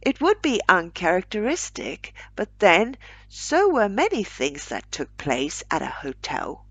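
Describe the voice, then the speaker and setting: A woman reading a short story aloud as a narrator, with a measured, wry storytelling delivery. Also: mid-range voice, huge pitch swings, fairly bright tone, slightly rough, no room sound.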